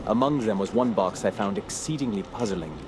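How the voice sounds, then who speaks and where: An actor playing a grave, brooding gentleman scholar who narrates a horror audio drama in a formal, measured, ominous way. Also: on the low side, background noise, lightly acted. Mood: somber, reflective.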